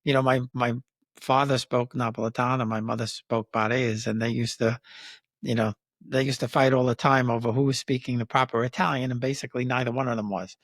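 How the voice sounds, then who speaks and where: A man's voice: medium pitch, little pitch movement, fairly quick, dry recording, clean studio sound. An older man from Queens with a New York accent, hosting a friendly, chatty interview. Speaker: interviewer host. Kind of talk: casual conversational interview.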